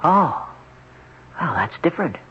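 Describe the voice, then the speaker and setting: A man acting a desperate, brooding young patient in an old-time radio drama, earnest and deliberate in his delivery. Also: a little high, big pitch swings, husky, heavily acted. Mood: guarded, anxious. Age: 20s. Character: haunted, desperate young man trapped in another's body. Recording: muffled, noisy old radio broadcast recording.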